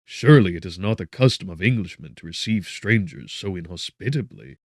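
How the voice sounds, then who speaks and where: A man voicing a desperate shipwrecked gentleman scientist in a gothic audiobook, formal, pleading and loudly declaimed. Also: low-pitched, very animated, brisk, no echo, clean studio sound, full character voice. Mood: offended surprise.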